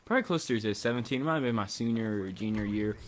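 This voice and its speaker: A man's voice: fairly low pitch, fast talker, slight background noise. A young man from Bosnia casually telling a rambling, profane story, full of "like" and swearing. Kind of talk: casual storytime over gameplay.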